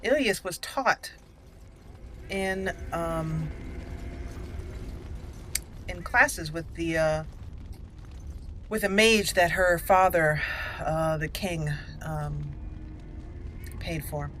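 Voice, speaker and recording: A woman's voice: lowish voice, very slow, pauses often, fairly bright tone. Chatty woman commentating over game noise. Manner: thinks aloud, chatty self-talk. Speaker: game streamer narrating a roleplay playthrough. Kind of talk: casual let's play commentary.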